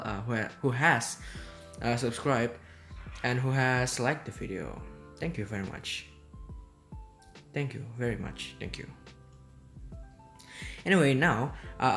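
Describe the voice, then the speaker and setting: Man, relaxed game commentary, meandering and unhurried, medium pitch, soft music underneath. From Indonesia. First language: Indonesian. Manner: rambling, easygoing, hesitant. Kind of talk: casual gameplay commentary. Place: at home.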